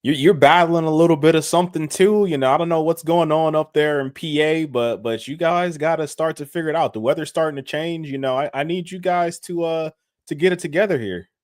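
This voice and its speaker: A man co-hosting a sports podcast, explaining news and making his case in a measured, casual way. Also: on the high side, no room sound, clean studio sound. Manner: measured, explaining, some restarts. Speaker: podcast co-host.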